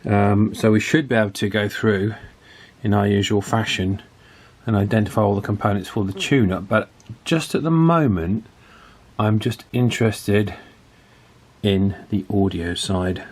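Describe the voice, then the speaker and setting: A man's voice: fairly low pitch, unhurried. A man working at a bench, talking casually through a radio repair as he thinks aloud, with fillers and restarts. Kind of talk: casual workbench tutorial.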